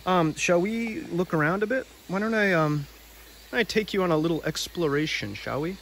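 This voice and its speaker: A man's voice: high-pitched, lively intonation, normal speed, gravelly, a bit bright, a little hiss or hum. A man hosting a read-aloud outdoors, narrating a storybook with casual asides. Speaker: storybook reader and video host. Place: outdoors by a river.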